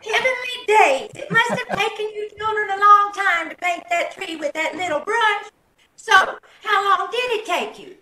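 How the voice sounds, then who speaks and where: Woman as folksy radio-comedy wife: very high, bright voice, teasing but kindly, echoey call audio. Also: normal speed. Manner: folksy, gently scolding. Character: patient, teasing, kindly wife with dry wit. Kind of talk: old-time radio comedy acting. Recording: echoey video call, faint noise.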